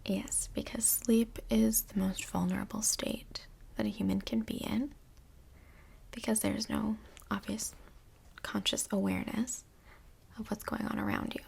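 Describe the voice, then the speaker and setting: A woman playing a calm, reassuring couples' counsellor in a soft-spoken, breathy, mostly whispered ASMR role play, gentle and measured. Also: mid-range voice, heavily acted. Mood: patient, reassuring.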